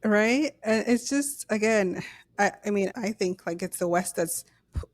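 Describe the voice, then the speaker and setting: A woman with a mid-range voice thinks out loud as she co-hosts a podcast, hedging her points. Her voice sounds dry and close to the mic. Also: medium pitch, unhurried, no echo. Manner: thoughtful, hedging, frequent affirming murmurs. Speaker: podcast co-host. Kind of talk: casual podcast conversation.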